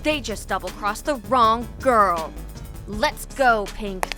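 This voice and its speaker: Woman acting spoiled teenage rich girl, cartoon drama, high voice, unhurried, indignant and entitled. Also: animated, over music. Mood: scheming, determined. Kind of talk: animated fan drama acting.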